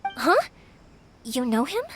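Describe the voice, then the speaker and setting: An actress voicing an earnest, considerate young woman who makes fireworks, in an expressive video game style. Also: high-pitched, slow pace, slight background noise. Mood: earnest, hesitant. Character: bright, earnest young female fireworks maker, eager, considerate. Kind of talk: animated video game voice acting. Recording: music playing behind the voice. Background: game music.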